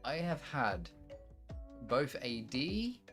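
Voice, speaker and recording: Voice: mid-range voice, very animated, extremely slow, a bit bright, soft background music. A young adult livestream host chatting casually and candidly, with frequent swearing.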